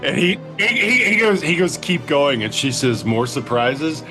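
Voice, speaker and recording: A man's voice: on the high side, unhurried, music in the background, poor audio. A man co-hosting a podcast, swearing freely and joking at his own expense while reviewing an action movie. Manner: profane, animated, self-mocking. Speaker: podcast co-host. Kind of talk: casual movie review chat.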